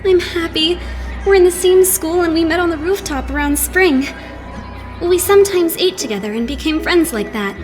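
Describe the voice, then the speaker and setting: A woman's voice: extremely high pitch, normal speed, soft background music. A woman voicing a modest, polite young male idol in an anime game fan dub, hesitant and apologetic. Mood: modest, friendly.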